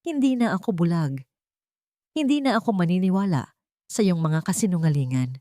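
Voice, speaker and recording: A woman's voice: fairly low pitch, expressive, moderate pace, warm tone, no room sound, natural voice. Betrayed husband, cold, stern, accusing, audio story melodrama; played by a female narrator. Dry sound. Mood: cold, resolute. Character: cold, furious betrayed husband confronting his deceitful wife.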